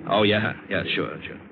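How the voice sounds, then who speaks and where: Low-voiced hard-boiled ship's mate, old radio. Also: male voice, noisy background. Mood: guarded. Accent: American. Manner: terse, sardonic, tough-guy delivery. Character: hard-boiled, cynical ship's mate narrating his own adventure. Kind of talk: 1940s-50s American radio adventure drama acting and narration. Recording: dull old radio broadcast, loud music.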